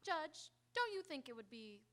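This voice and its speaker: An anxious secretary in a radio play, played by a woman. Her high voice is polite and hesitant. She speaks with careful deference. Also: very animated, normal speed, a bit bright. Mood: anxious politeness. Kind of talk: live old-time radio drama acting.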